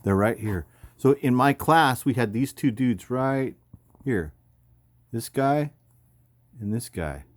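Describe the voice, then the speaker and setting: A man's voice: mid-range voice, expressive, slow, long pauses, no room sound. An American man in his fifties, an army veteran, talking in a casual, rambling, slangy way as he comments on photos. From California, United States. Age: 50s. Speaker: army veteran, former paratrooper and combat medic.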